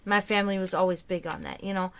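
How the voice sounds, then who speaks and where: A woman's voice: mid-range voice, steady tone, fast talker, fairly bright tone, clear, resonant tone. A woman in casual livestream chat, giving tarot readings, rambling and laughing often.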